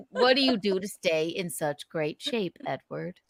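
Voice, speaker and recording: A woman hosting a casual chat, enthusiastic and quick to laugh. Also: on the low side, animated, vocal fry, a crowd in the background. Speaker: podcast host.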